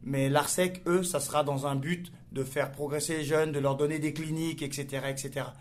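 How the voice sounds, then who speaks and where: French soccer coach, hesitant answers. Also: male voice, fairly high pitch, fairly even intonation. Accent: French from France. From France. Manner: hesitant, many pauses and fillers. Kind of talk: radio interview answers.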